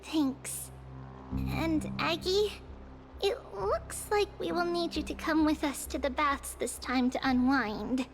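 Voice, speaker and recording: A woman's voice: sits high, slow, background noise. A woman voicing an earnest, childlike little girl seer in a video game. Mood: affectionate. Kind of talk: scripted video game voice acting. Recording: loud background music. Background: music.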